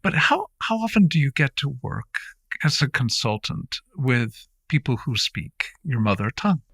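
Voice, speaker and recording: A man hosting a podcast, talking in an earnest, rambling way with many halting restarts. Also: mid-range voice, very animated, fairly bright tone, breathy edge, no room sound, clean studio sound. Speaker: podcast host, founder of the center. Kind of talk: warm conversational podcast interview.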